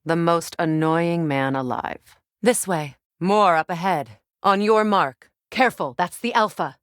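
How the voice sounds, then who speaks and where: An actress playing a solemn, regal Norse goddess warrior in a video game, speaking in a measured, grave, formal manner. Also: female voice, lowish voice, no room sound, clean studio sound, close to an everyday voice. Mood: watchful, measured. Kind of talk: dramatic video game voice acting.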